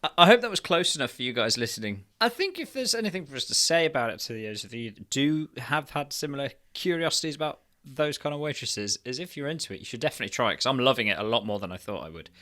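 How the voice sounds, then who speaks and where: Bright-voiced man in casual chat. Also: mid-range voice, sing-song, fast talker, few pauses. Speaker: podcast co-host. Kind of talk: casual comic podcast chat. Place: indoors on a video call.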